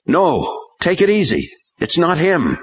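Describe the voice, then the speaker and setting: A man voicing a bold male pastor who forcefully rebukes a demon. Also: medium pitch, animated, slow pace, pitched above the performer's own voice. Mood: commanding. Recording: dull lo-fi recording.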